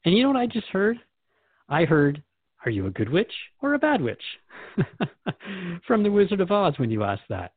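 A man's voice: high voice, sing-song, normal speed, long pauses, husky, no echo, narrow, tinny audio, heavily acted. A man playing a curious, earnest seeker questioning a spiritual guide in a conversational scripted dialogue. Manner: inquisitive, conversational.

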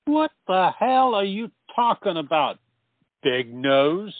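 Man playing a high-voiced, blustering dim bully. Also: very animated, slow, no echo, full character voice. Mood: confused, belligerent. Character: slow-witted, blustering bully, easily confused and insulted. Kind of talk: comic stage play acting. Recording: muffled, phone-like stage play recording.

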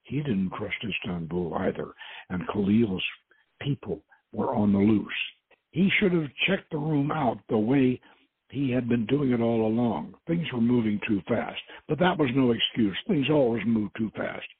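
A man's voice: medium pitch, lively intonation, measured pace, breathy edge. A man hosting and reading aloud from an adventure story, stumbling and repeating words now and then. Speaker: host and author reading his novel. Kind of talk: dramatic reading of an adventure novel. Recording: muffled phone-like lo-fi audio.

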